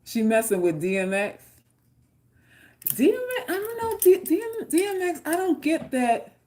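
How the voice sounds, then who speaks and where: Female livestream host, New York accent, brighter-pitched, blunt comic delivery. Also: very animated. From New York, Queens. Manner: blunt, joking, swears freely, quick asides. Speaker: livestream host reading chat. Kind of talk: casual unscripted chat.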